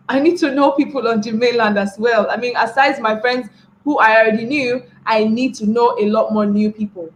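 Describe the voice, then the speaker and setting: A woman's voice: on the high side, a bit bright. A young Nigerian woman giving a motivational webinar talk, repeating key phrases and checking in with her listeners by asking "right?". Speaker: webinar speaker. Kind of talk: motivational talk to an online class. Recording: echoey room with faint background noise.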